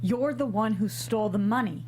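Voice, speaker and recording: A woman's voice: medium pitch, moderate pace, darkish tone, slight background noise, close to an everyday voice. A woman playing a brash, sarcastic, foul-mouthed tough woman with a demanding manner in a comedy table read. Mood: angry, threatening.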